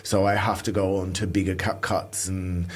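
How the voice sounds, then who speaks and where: A middle-aged man from Australia with a fairly low voice that can go croaky and slightly nasal. He talks bluntly and with emphasis, often running through quick lists in a relaxed interview. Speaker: makeup artist, guest. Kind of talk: casual interview chat.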